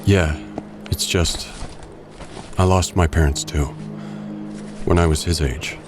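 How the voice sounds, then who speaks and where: A man playing a brooding, protective, reserved wealthy man in an audio drama, speaking in a measured, understated way. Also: deep voice, steady tone, over music. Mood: shaken, gentle.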